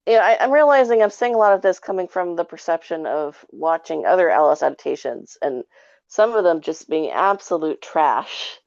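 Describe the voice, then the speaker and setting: A woman's voice: on the low side, lively intonation, normal speed, creaky, a little mellow, dry recording, muffled recording. A woman giving her take in a casual, rambling review chat with an informal way of talking. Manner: rambling, informal, frequent 'like'. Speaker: podcast guest reviewer.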